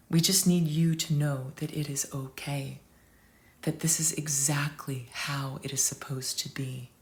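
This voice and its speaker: A loving aging family dog, gentle and devoted, tells her own story, read aloud by a woman. The voice sits low and moves softly and slowly, as in a storybook. Tender throughout, never acted as a creature. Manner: soft, tender, slow. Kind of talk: storytelling reading.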